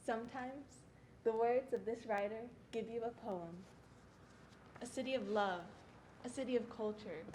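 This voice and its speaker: A woman's voice: a little high, measured pace, long pauses, dark tone, close to an everyday voice. A young woman, a student narrator reciting scripted readers theatre lines. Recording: faint background noise.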